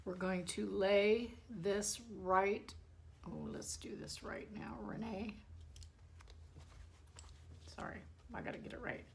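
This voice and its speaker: Hoarse woman, casual chatty tutorial host, talks while working at the sewing table. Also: medium pitch, slow, long pauses. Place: home sewing studio by a window.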